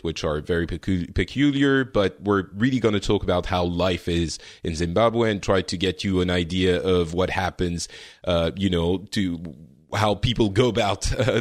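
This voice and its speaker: Hesitant podcast host, low voice. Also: male voice, no room sound, studio quality. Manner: hesitant, filler-heavy. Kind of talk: hosting a chat podcast.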